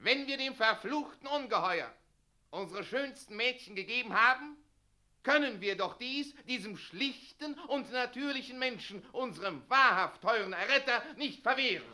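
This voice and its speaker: An actor in a German radio play playing an officious, servile wedding official who declaims ceremoniously. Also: male voice, very high-pitched, lively intonation, normal speed, pushed and bright, exaggerated voice. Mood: grandiose, ceremonial.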